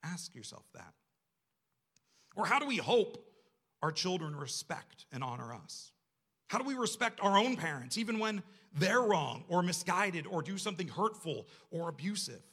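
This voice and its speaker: A man's voice: sits high, very animated, normal speed, a bit bright, husky. A man preaching a sermon to a congregation in a conversational, self-deprecating way, often posing rhetorical questions. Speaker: church staff member preaching.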